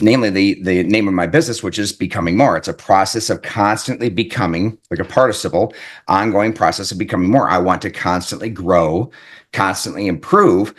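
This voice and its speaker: A man giving an earnest, reflective self-help talk as an author, often repeating his points and checking in with "right?". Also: sits low. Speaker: author and life coach.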